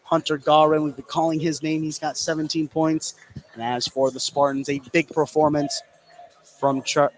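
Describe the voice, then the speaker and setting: Male radio play-by-play caller, high-pitched and excitable, live basketball with gym noise in the background. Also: normal speed. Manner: excitable, voice rises to shouts on big plays. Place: courtside in a school gym. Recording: clear gym noise behind the voice. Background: gymnasium ambience.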